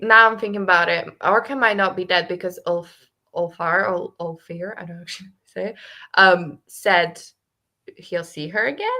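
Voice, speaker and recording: A young woman co-hosting a casual book club discussion, chatting animatedly and filling her talk with "like". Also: mid-range voice. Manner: chatty, heavy use of "like", animated.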